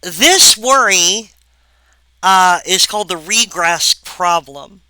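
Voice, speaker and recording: A woman giving a recorded philosophy lecture, halting and full of wry asides to the viewer. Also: fairly low pitch, lively intonation, bright, forceful tone, clean tone, no echo, low-quality recording.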